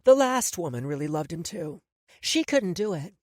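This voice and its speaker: A woman voicing a calm, cruel woman who toys with her captives, speaking softly and with quiet menace. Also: on the low side, moderate pace, dry recording, studio quality. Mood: cold, menacing. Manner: soft, coolly menacing.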